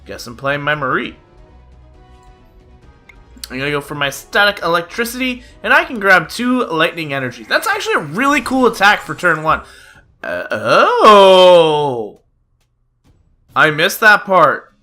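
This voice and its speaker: A man's voice: high voice, big pitch swings, unhurried, long pauses, pushed and bright. A young man giving chatty play-by-play commentary on a card game, slightly nasal from a cold, with lots of "uh" fillers. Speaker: gaming YouTuber. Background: background music.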